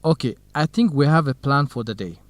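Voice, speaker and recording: An actor playing a kind, modest young researcher in an educational radio drama, speaking in measured, simple phrases. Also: male voice, mid-range voice, expressive, no echo, lightly acted. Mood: informative.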